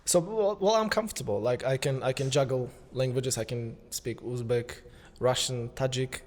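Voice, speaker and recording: A man's voice: medium pitch, normal speed, darkish tone, in a large hall. Easygoing young male guest telling anecdotes. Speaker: podcast guest, school co-founder. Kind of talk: casual interview conversation.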